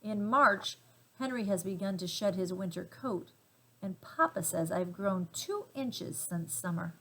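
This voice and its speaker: A woman reading a children's storybook aloud with gentle, deliberate phrasing and dramatic emphasis. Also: medium pitch, expressive, rough recording. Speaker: storybook reader.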